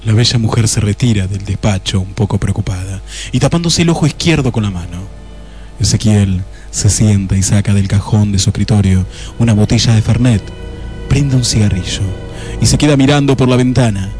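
Casting: male actor as deadpan storyteller for comic detective radio play, slightly low voice. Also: fairly quick, soft background music, close to an everyday voice. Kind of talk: scripted comic noir narration.

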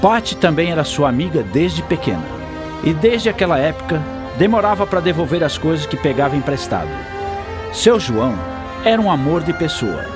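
A Brazilian man tells a scripted radio story in an expressive voice. His pitch is a bit high and moves widely, and clear music plays underneath. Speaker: radio drama narrator.

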